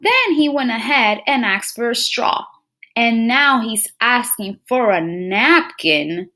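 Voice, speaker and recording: Female children's read-aloud teacher: slow, very lively pitch, slightly high voice, engaging listeners with questions. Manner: expressive, addresses listeners as friends and scholars, checking in with questions. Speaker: teacher reading a picture book aloud.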